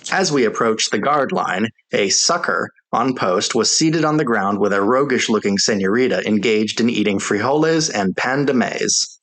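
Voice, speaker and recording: A man's voice: on the low side, lively intonation, normal speed, few pauses, fairly bright tone. A man reading a soldier's memoir aloud as scripted audiobook narration.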